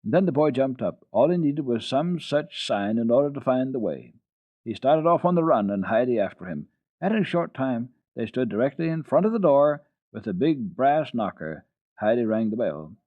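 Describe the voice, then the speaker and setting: A man giving an expressive, deliberate dramatic reading of children's literature as a radio storyteller. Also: medium pitch. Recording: dull phone-like old radio recording, roomy echo.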